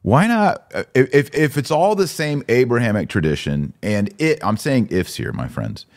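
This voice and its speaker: A man's voice: mid-range voice, big pitch swings, normal speed. A man hosting a conversational religious commentary podcast, often posing incredulous rhetorical questions. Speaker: podcast host.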